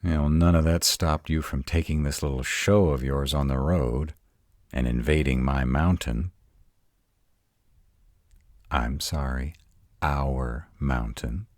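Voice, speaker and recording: Very deep, grumpy male were-dragon, acted by a man. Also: slow pace, long pauses, darkish tone, no room sound, clean studio sound. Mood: sarcastic. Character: grumpy, protective tsundere male were-dragon guarding his mountain. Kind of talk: scripted roleplay audio drama.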